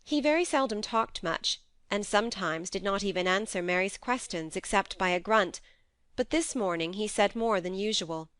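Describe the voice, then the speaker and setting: A woman reading a classic novel aloud as a steady, measured audiobook narrator on a close mic. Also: mid-range voice, clear, resonant tone, no room sound.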